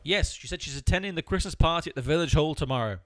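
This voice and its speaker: A man's voice: a little high, fast talker, a bit bright, no echo, natural voice. A man playing an exasperated, stingy, bossy straight man with a dry, curt manner in an amateur audio comedy.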